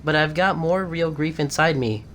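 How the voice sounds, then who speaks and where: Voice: mid-range voice, moderate pace, a bit bright, a little hiss or hum, lightly acted. An actor playing a gloomy, weary young prince in mourning, speaking theatrical Shakespearean lines in broken, declamatory exclamations. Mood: bitter, subdued. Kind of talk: theatrical Shakespearean soliloquy and dialogue. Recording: music playing clearly behind the voice.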